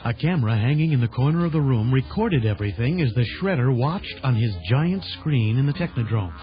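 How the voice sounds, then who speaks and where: A man's voice: mid-range voice, expressive, fairly quick. A man narrating a children's adventure audio story in a dramatic scripted style. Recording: dull lo-fi 1980s tape, music behind.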